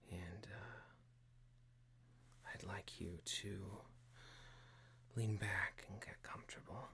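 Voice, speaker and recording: A man playing a calm boss who gently leads a guided meditation in a breathy, hushed ASMR whisper, speaking deliberately and soothingly. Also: on the low side, full character voice. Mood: soothing, instructive.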